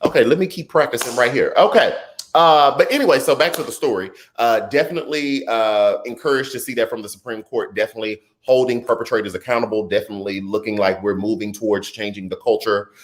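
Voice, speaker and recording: A man in his thirties hosting a live political commentary stream, chatty and animated, reading viewer comments and riffing between stories. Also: medium pitch, quick pace. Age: 30s. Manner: animated and conversational, drifts into chatty asides and laughs easily. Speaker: political talk show host.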